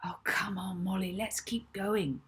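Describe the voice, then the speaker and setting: A woman's voice: medium pitch, steady tone, a little slow, breathy edge. A woman voicing an earnest schoolboy in a children's story, urging a friend on with irritated exasperation. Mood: breathy coaxing. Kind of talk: children's audiobook character voice.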